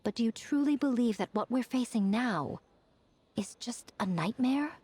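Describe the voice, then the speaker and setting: A woman's voice: on the high side, expressive, normal speed, a little mellow, breathy edge. A woman playing a poised, shrewd female advisor in a video game, articulate and knowing. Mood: knowing, cautioning. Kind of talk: scripted video game voice acting.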